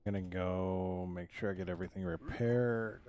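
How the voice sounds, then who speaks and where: A very low-pitched man talks through his game build on a livestream. His voice is hoarse and dry, and he rambles in a relaxed way, ending thoughts with loose fillers. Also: normal speed, a little hiss or hum. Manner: laid-back, rambling with trailing "so" and "yeah". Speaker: streamer. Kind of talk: casual livestream chat while building in a game.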